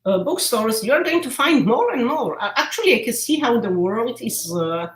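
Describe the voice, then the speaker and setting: A woman's voice: a little high, animated, moderate pace. A woman with a Polish accent, a literature professor explaining her research over a video call in a thoughtful way with small hesitations. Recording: roomy video call audio.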